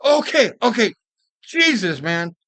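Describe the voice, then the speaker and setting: A woman's voice: fairly high pitch, big pitch swings, unhurried, a bit bright, no echo, full character voice. Woman doing a nervous, pleading debtor. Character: nervous male debtor, defensive and scared.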